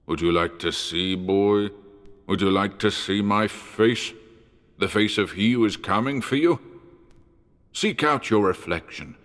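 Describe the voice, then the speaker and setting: A man voicing a menacing, taunting supernatural stalker with a creaky, drawn-out, sinister delivery, heard in long cavernous reverb in an audio drama. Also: on the low side, fairly bright tone. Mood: taunting, commanding. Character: menacing, taunting male supernatural stalker speaking over radio.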